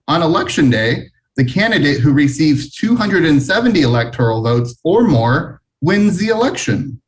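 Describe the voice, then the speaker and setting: A man voicing patient schoolteachers in a storybook, explaining things to children in a measured way. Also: a little high, sing-song, recorded from a distance, poor audio.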